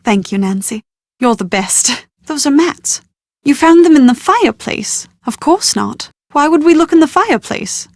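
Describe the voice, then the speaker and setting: A young British woman in an adventure game, high-voiced and posh. She is chatty and easily exasperated, with an anxious streak. Her wordy, expressive lines come through close and dry. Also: normal speed, no room sound. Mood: flustered, grateful. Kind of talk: scripted video game character dialogue.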